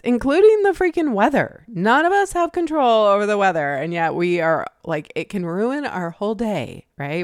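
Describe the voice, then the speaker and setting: A woman hosting a podcast on her own, talking in a relaxed, reflective way, laughing often and acting out her own inner thoughts. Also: fairly low pitch, no echo, studio quality. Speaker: podcast host. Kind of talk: casual solo podcast talk.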